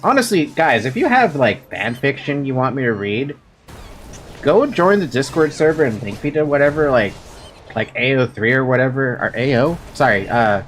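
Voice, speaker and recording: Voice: medium pitch, big pitch swings, normal speed, slight background noise. A game streamer chatting casually and playfully with viewers in a rambling way while playing. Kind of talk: casual livestream chat over gameplay.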